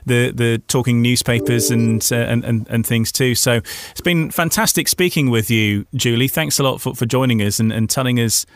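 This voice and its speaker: A man with a medium-pitched voice hosts a radio interview in a conversational style. The sound is dry, with very little room echo. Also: moderate pace, few pauses. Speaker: radio host.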